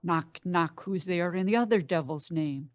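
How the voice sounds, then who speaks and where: A woman's voice: lowish voice, moderate pace, dry recording, studio quality, close to an everyday voice. A woman playing a rambling, hungover male porter in a dramatic Shakespeare reading, bawdy and sardonic in a theatrical comic manner. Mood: weary, sardonic. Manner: theatrical, muttering comic monologue.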